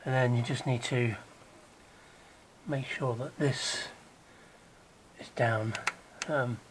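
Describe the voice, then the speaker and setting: A man with a croaky voice gives a casual, hands-on how-to while working on an engine in a garage, chatting along and dropping to a mutter as he works. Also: medium pitch, steady tone, slow, noisy background. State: croaky, recovering from illness. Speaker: DIY car repair vlogger.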